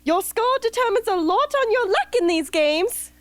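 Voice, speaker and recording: A bubbly, fussy female escort for a radio play. Her voice is extremely high and swoops animatedly through theatrical, chirpy lines, recorded close and dry. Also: lively intonation, normal speed, a bit bright, no room sound. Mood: eager. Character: bubbly, fussy, upbeat woman escort to young tributes. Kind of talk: amateur radio drama acting.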